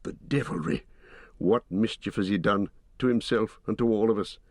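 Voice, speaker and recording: A man voicing a stern old male wizard who speaks gravely and slowly. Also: fairly low pitch, no echo, clean studio sound, natural voice. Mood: dismayed.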